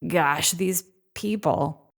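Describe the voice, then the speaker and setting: A woman playing a sardonic, stressed woman on a space mission in an audio drama, with a creaky voice and dry manner. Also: lowish voice, drawn-out words, full character voice. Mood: stressed, bitter.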